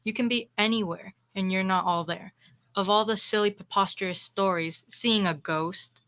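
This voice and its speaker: A woman's voice: mid-range voice, fairly even intonation, normal speed, a bit bright, lightly acted. A female performer playing an irritable, insulting male office boss with blustery, cutting delivery in old radio comedy style. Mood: irritated, scornful. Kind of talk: 1940s radio comedy acting.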